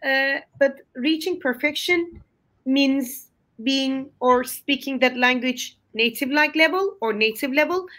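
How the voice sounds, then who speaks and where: A woman's voice: high voice, a little slow, a bit bright. A woman with a Turkish accent, a university lecturer speaking hesitantly with fillers on a webinar. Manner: hesitant, many fillers and restarts. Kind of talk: webinar closing remarks.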